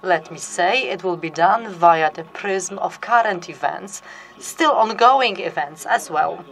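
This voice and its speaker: A woman giving live interpretation of an academic conference talk, measured, with restarts and fillers. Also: on the low side, fairly bright tone, slight background noise, poor audio. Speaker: simultaneous interpreter.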